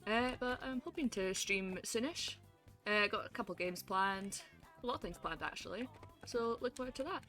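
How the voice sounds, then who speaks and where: A woman's voice: medium pitch, moderate pace, bright, forceful tone, faint music behind. A young Scottish woman hosting a casual debate stream, teasing and laughing often. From Scotland.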